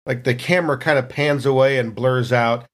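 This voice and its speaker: Guy making up rpg scene narration as he goes, middle pitched voice, casual. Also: fairly even intonation, normal speed. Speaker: tabletop role-player narrating scenes. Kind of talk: improvised game narration, casual.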